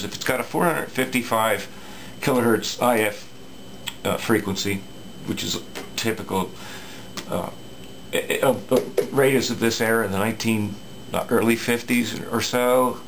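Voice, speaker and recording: A low, gravelly male voice explains an old radio repair in an unscripted, halting way, stopping often for fillers and restarts. A faint hiss of background noise sits under the recording. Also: poor audio. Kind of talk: casual workbench repair talk.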